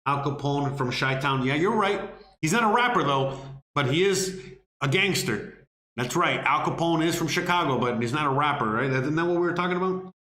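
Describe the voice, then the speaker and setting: A man's voice: fairly high pitch, lively intonation, moderate pace, bright, forceful tone. A man from Miami hosting a live question-and-answer stream, blunt and profane, rambling with lots of "you know what I mean.". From Miami, United States. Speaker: livestream host answering viewer questions. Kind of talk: casual live Q&A chat. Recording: roomy echo.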